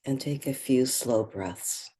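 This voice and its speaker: A meditation guide speaking gently and without hurry, leaving pauses between short phrases. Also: mid-range voice, breathy edge, poor audio.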